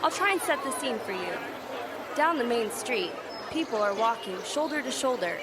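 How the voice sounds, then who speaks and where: A crisp field reporter in a live radio drama, delivering the scene in a newsy way. Her voice runs a little high, and a loud crowd with street noise surrounds her. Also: animated, moderate pace. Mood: reporting. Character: Carla Phillips, brisk field news reporter. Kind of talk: mock live news report.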